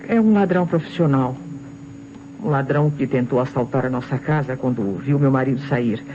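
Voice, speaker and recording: An actress playing an agitated, defensive wife under police suspicion, with a Brazilian accent, in an old radio detective drama. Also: female voice, a bass voice, moderate pace. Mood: pleading, agitated. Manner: emphatic, protesting. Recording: dull old radio recording, faint noise.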